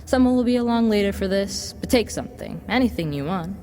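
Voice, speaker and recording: A woman playing a smooth-tongued devil boy in an audio drama, coaxing like a salesman and offering tempting gifts. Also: mid-range voice, lively intonation, fast talker, warm tone, natural voice. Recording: long reverb, background noise.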